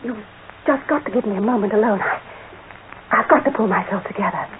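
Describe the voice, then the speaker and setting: A woman's voice: medium pitch, fairly quick, lightly acted. A woman playing an anxious, protective middle-aged mother in an old radio drama, flustered and often breaking off or repeating herself. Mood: distraught, flustered. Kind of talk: 1940s radio acting. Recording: very noisy, narrow-band vintage radio recording.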